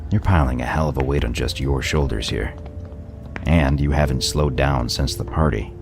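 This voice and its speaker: Radio play role: man voicing a gruff wolfish sheriff, extremely deep, heavy gravel, terse and dryly teasing. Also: steady tone, normal speed, background noise. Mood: gruff concern. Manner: laconic, dry teasing. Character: gruff, sardonic male wolf lawman, loyal underneath. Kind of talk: audio drama dialogue.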